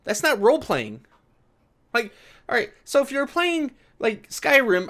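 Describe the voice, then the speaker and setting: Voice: medium pitch, a bit bright. A sarcastic, mocking commentator with an informal manner, reading aloud and reacting. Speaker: video commentator.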